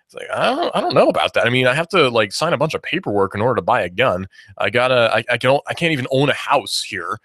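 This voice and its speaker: A man's voice: medium pitch, sing-song, quick pace, a bit bright, dry recording, studio quality. A man in his twenties hosting a radio talk show, rambling through his opinions with fillers and restarts. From United States. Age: 20s. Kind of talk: casual talk radio monologue.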